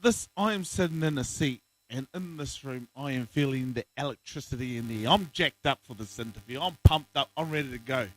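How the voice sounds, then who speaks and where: Casting: young New guy, pumped-up laughing banter, mid-pitched voice. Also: brisk, few pauses, a bit bright, a little hiss or hum, low-quality recording. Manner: laughing, hyped-up banter with slang like "bro". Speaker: guest. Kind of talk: casual podcast interview.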